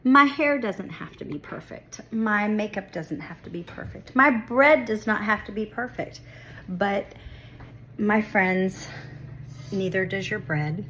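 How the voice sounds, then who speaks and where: A woman's voice: mid-range voice, measured pace, bright, forceful tone, a little hiss or hum, rough recording. A young woman talking through her bread-baking in her home kitchen, chatty and reassuring, with a congested, sniffly voice. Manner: chatty, self-deprecating, reassuring. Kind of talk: casual baking tutorial talk.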